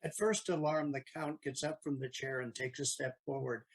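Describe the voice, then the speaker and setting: A man's voice: medium pitch, steady tone, normal speed, husky, poor audio. A man reading scripted stage directions as the narrator of an audio play.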